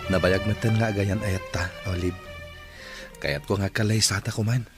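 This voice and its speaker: A man's voice: fairly low pitch, fairly even intonation, normal speed, over loud music, lightly acted. A man playing a devoted young lover, tender and sincere, in an Ilocano audio drama. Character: devoted young man declaring his love.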